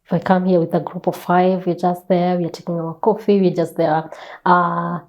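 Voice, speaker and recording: A woman's voice: fairly low pitch, little pitch movement, normal speed, a little mellow. A Kenyan woman speaking as a guest in a conversational interview, telling stories emphatically, repeating phrases and asking rhetorical questions. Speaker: guest author. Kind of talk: animated conversational storytelling.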